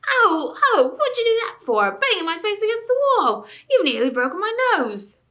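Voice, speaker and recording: A woman reading a storybook, voicing a surly, quarrelsome male dwarf who talks loudly and gruffly. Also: high voice, expressive, a little fast, pitched above the performer's own voice. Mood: howling. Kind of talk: audiobook character reading.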